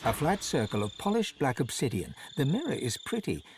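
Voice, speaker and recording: A man acting as the narrator of a fantasy game, telling scenes in an expressive storybook style. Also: mid-range voice, fairly quick, noisy background. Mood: wry, descriptive. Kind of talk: scripted second-person narration.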